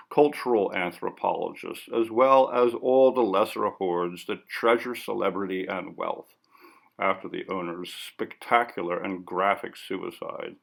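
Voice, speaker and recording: Slow, gravelly male audiobook narrator. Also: on the low side. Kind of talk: scripted literary narration.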